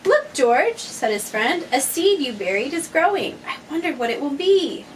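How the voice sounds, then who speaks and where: A woman's voice: sits high, big pitch swings, normal speed. A woman, a mother, reading a children's picture book aloud and putting questions to her young listeners. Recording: noisy, distant microphone.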